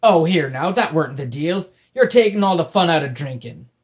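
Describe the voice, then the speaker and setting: A man voicing a short, grumpy male pirate with a wooden leg, speaking in a gruff, drawling pirate dialect. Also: fairly high pitch, animated, moderate pace, voice pushed up, lightly acted.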